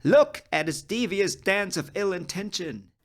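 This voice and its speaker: A man voicing an ominous, grandiose freak show barker in an old West drama read-through, announcing theatrically and dropping to hushed warnings. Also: high voice, expressive, a little slow, voice pushed up.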